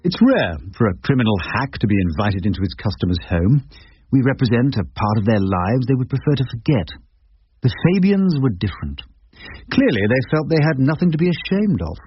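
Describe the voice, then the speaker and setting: An actor playing an ageing English criminal barrister in a radio drama, wry, literate and measured in dialogue and narration. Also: male voice, medium pitch, sing-song, breathy edge. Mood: wry, reflective. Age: older. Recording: muffled, noisy lo-fi radio recording.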